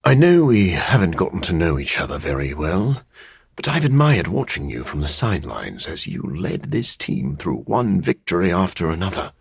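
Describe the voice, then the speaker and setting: An actor playing a brooding, scholarly vampire in a video game, a man with a husky, gravelly voice and a dry, formal manner. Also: deep voice, big pitch swings, normal speed, no room sound, exaggerated voice. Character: brooding, scholarly living vampire scientist, grim and awkward, adult man. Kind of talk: video game character acting.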